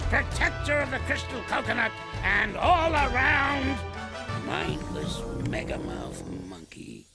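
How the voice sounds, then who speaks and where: A cantankerous elderly ape from a cartoon, forever scolding and calling others numbskulls. The voice sits very high, crackly and gravelly, and swoops widely in pitch. Loud music plays behind him. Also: male voice, heavily acted. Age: older. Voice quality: creaky, gravelly old voice. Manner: grumbling, scolding, name-calling. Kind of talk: cartoon series dialogue.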